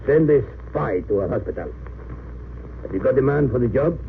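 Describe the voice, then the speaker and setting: A tinny, noisy vintage broadcast of an actor playing a stern German army officer. His delivery is commanding and clipped, with wide swings in pitch. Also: expressive, moderate pace, lightly acted. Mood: stern, demanding. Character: stern, demanding German lieutenant of the Great War. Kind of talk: 1930s radio drama acting. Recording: tinny, noisy old radio recording.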